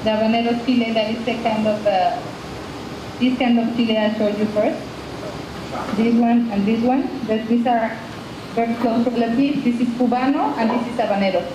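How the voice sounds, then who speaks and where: A middle-aged woman from Mexico with a Mexican Spanish accent, a chef teaching a class in English over a video call, halting and restarting her sentences. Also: on the high side, fairly even intonation, background noise. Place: cooking school kitchen, heard through classroom speakers. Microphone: computer webcam mic. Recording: echoey laptop-speaker audio replayed in a classroom.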